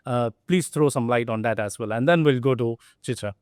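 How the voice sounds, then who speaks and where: A man's voice: medium pitch, fairly quick, a little mellow, smooth, no room sound, clean studio sound. A middle-aged man hosting a business podcast, introducing guests earnestly with many "uh" fillers. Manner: earnest, with frequent "uh" fillers and "really". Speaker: podcast host, company CEO.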